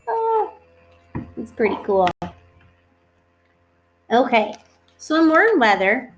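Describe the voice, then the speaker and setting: Higher-voiced woman teaching young children. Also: slight background noise, poor audio. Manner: instructive, asks questions and answers them herself. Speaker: elementary school teacher. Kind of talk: read-aloud lesson for young children.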